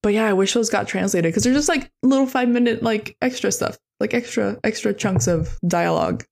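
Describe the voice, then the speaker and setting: A woman co-hosting a podcast in casual chat, talking fast and peppering her speech with "like". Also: mid-range voice, creaky, a little mellow. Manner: chatty, heavy use of "like" as filler. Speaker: podcast co-host.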